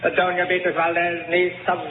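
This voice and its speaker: An actor playing a formal court clerk in an old radio drama, declaiming an indictment in a droning manner. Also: male voice, sits high, monotone, a little fast, lightly acted. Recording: echoey, noisy narrow-band vintage radio.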